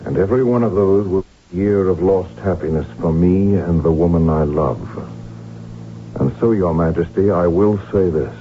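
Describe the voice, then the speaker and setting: Casting: man to play desperate, proud imperial heir, very deep, formal and intense, vintage radio drama sound. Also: normal speed, a little hiss or hum, lightly acted. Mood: defiant. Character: proud, desperate, defiant middle-aged male Habsburg heir. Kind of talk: 1970s radio drama acting. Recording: tinny, humming old radio recording.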